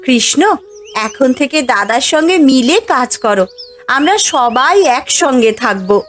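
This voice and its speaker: Caring cartoon village wife, acted by a woman, fairly high voice, gently advising, music behind. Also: animated, slow. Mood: encouraging. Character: caring, cautious village wife in a fairy tale.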